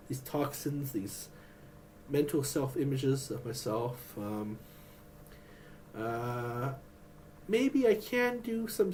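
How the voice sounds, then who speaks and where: Rambling, halting man with a higher voice. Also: a little mellow, a little hiss or hum. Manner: halting, many restarts and fillers. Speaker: vlogger. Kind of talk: rambling personal vlog.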